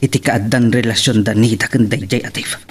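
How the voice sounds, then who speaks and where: A man's voice: fairly low pitch, fairly even intonation, a little fast, noisy background, lightly acted. An actor playing a jealous, scheming rich young man, delivering brooding and menacing monologue in an audio drama. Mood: brooding menace. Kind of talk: Ilocano radio-style drama acting.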